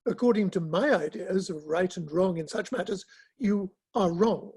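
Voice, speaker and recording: A man in a dramatic play reading, playing an earnest, principled Victorian gentleman who speaks in a measured, formal way. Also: high voice, husky. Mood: earnest, firm.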